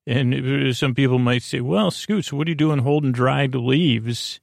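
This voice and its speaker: A man hosting a bedtime podcast in a creaky, lulling voice, meandering hesitantly through tangents. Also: medium pitch, a little slow, no echo, clean studio sound. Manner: soothing, lulling delivery. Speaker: podcast host. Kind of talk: rambling bedtime sleep podcast monologue. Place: closet at home.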